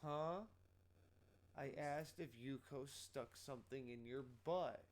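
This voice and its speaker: A man's voice: medium pitch, takes it slowly. Teasing male streamer. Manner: teasing, jokey banter. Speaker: streamer hosting a movie night. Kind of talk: casual live-stream chat.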